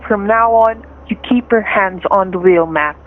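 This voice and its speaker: A menacing hostage-taker played by a woman from a radio thriller. The delivery is curt, distrustful and commanding, at a middling pitch for a woman. The sound is tinny and narrow. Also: a little slow, close to an everyday voice. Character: menacing, suspicious male gunman holding a couple hostage. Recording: tinny, narrow-band radio-play recording.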